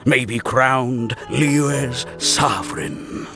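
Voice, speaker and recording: An actor voicing a pompous, excitable male scholar in a video game, with a theatrical, indignant manner. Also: medium pitch, drawn-out words, vocal fry, music in the background. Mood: grandiose.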